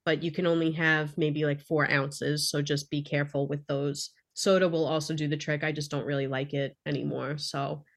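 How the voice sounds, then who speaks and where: A woman's voice: deep voice, level tone, moderate pace, hardly any pauses. A woman co-hosting a podcast, chatting casually and giving practical tips in a plain-spoken, instructional way. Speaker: podcast co-host.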